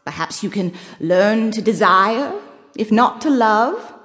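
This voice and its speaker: An actress playing a shrewd, worldly nun in an audio drama, speaking in a measured, wry and patronizing manner. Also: female voice, medium pitch, animated, fairly bright tone, soft background music. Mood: gently coaxing. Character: shrewd, worldly older nun counselling a girl. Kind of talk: full-cast audio drama acting. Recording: long echoing reverb of a large room.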